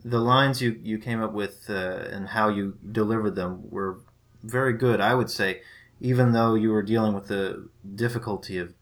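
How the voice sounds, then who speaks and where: Male interviewer, lower voice, hesitant and measured. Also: steady tone. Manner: hesitant, many "uh" pauses. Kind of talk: interview questions.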